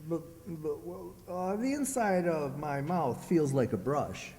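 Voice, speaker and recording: An actor playing a sulky, jealous, self-pitying husband with a plaintive, halting delivery in radio comedy style. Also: male voice, fairly high pitch, expressive, a little mellow, slight background noise. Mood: mopey, feigning illness. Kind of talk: old-time radio comedy acting, re-created. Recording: echoey hall, long reverb.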